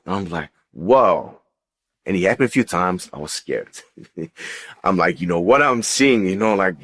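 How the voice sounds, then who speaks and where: Deep-voiced man giving personal testimony. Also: husky. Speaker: guest sharing testimony. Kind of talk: personal religious testimony, casual storytelling.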